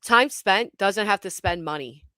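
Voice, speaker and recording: A woman's voice: mid-range voice, expressive, a little slow, pushed and bright, dry recording, clean studio sound. A woman hosting a commentary stream, talking bluntly and scornfully and swearing freely. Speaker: commentary channel host. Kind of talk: casual reaction commentary.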